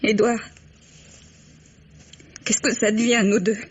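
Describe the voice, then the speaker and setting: A woman's voice: a little high, flat delivery, takes it slowly, slightly rough. An actress playing a hesitant, emotional mistress in her thirties, clinging and pleading, in a French vintage radio melodrama. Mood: wounded. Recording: dull vintage radio recording.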